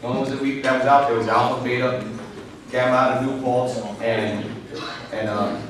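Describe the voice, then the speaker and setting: A man's voice: mid-range voice, fairly even intonation, moderate pace, slight background noise. A middle-aged man from New York giving a rambling, conversational keynote speech, full of "you know" fillers. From New York, United States. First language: English. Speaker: keynote speaker. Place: large convention hall. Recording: echoey hall from far off.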